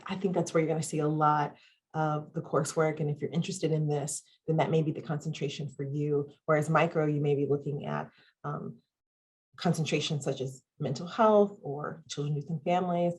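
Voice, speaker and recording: A woman's voice: low voice, steady tone, normal speed, a little mellow, husky. A woman presenting and moderating an online panel on a video call, upbeat and listing items with frequent ums. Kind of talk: webinar presentation.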